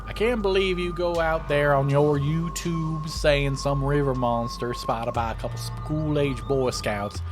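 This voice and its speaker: A man's voice: a little high, close to an everyday voice. A man voicing a stubborn, grumpy old Appalachian hunter with a rural Southern accent and a folksy, blustering way of talking, for a game. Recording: music playing behind the voice.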